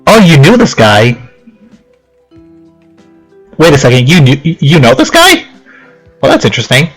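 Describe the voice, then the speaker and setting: A man's voice: on the high side, huge pitch swings, measured pace, slightly rough. A man doing casual let's play commentary, reading game text aloud and rambling through puzzled reactions. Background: game music.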